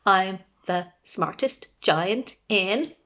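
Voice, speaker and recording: A woman's voice: mid-range voice, expressive, drawn-out words, fairly bright tone, muffled recording. A woman voicing a kindly male giant from a children's storybook, with singsong rhymes. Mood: cheerful. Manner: singsong, boastful rhymes and generous chatter.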